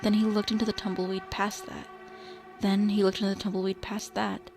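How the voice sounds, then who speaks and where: A woman's voice: mid-range voice, flat delivery, brisk, creaky, darkish tone, faint music behind. A woman reading scripted narration for a radio drama.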